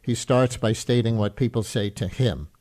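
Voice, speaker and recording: A man presenting a public radio segment in an even, measured way. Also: medium pitch. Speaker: radio host.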